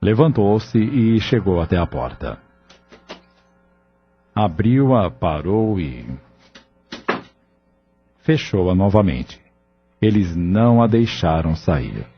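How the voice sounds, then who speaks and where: A man narrating an audio novel in a measured, solemn storytelling manner. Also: deep voice, big pitch swings, slight background noise. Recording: dull lo-fi audio with faint music.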